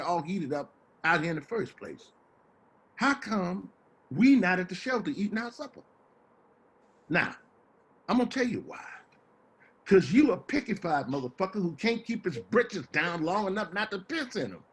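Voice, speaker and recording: An actor playing a rambling, wheedling homeless man with American street slang and a husky, stammering delivery, in a stage play reading. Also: high-pitched, sing-song, unhurried, long pauses, a bit bright, full character voice. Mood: argumentative. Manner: stammering, repeats words, folksy street slang.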